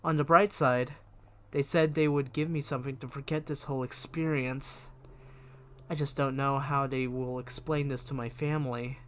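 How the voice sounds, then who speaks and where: A man's voice: on the high side, a bit bright. A man reading a diary-style horror story aloud as scripted narration, with expression. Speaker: fan fiction reader and video creator.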